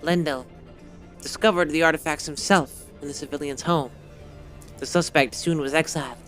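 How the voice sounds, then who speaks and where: A slightly hoarse man streaming a game, reading its story text aloud and then chatting casually, rambling and correcting himself with many fillers. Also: on the high side, fairly even intonation, normal speed, fairly bright tone, faint music behind.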